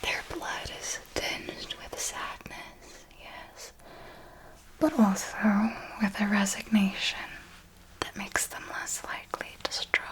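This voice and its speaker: A refined vampire mentor, played by a woman, speaks in a breathy, intimate whisper. She takes her time over each formal phrase, patient and seductive with a predatory edge, in the manner of an ASMR roleplay. Her pitch sits in an average female range. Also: level tone, close to an everyday voice, poor audio. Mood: coolly instructive. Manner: slow, measured, formal, intimate. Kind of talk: ASMR roleplay, scripted monologue.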